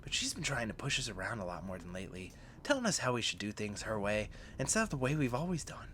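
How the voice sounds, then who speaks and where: A man's voice: mid-range voice, very animated, fast, a bit bright, slight background noise. An actor playing a weary young male agent in a game, grumbling frankly about a superior. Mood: fed up.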